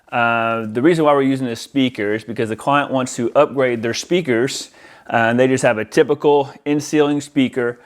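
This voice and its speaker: Casual American man presenting a product. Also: mid-range voice. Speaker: audio-video installer presenting a product. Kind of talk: casual product unboxing and demonstration. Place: indoors in a house, near a window.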